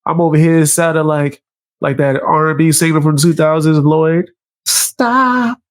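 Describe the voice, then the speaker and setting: A man's voice: a little high, measured pace. A congested man with a New York accent, commentating casually, talking through a stuffy nose and joking with his chat. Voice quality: nasal. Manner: animated, jokes with live chat. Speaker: commentary streamer.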